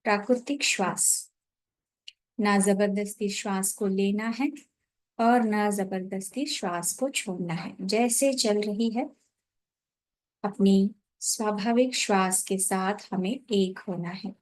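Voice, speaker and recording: A woman guides a meditation in a medium-pitched voice. She speaks very slowly, setting out each instruction in short, separated phrases. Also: darkish tone.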